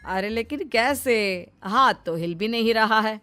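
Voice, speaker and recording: A woman's voice: fairly high pitch, lively intonation, unhurried, a bit bright. A woman voicing a strict, sarcastic middle-aged mother-in-law in a cartoon story, scolding in an exasperated way. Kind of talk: Hindi cartoon story dialogue. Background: background music.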